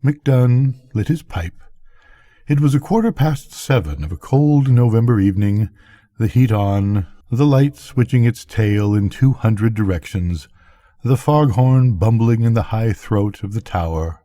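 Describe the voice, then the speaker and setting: A man's voice: fairly low pitch, unhurried, mellow, no echo, clean studio sound. An older man reading a bedtime story aloud as a storyteller.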